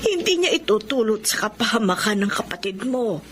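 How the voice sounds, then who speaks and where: A middle-aged Filipina aunt played by a woman with a medium-pitched voice, reassuring and confiding. It is radio drama acting, with faint noise and ambience behind it. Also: sing-song, fairly quick, lightly acted.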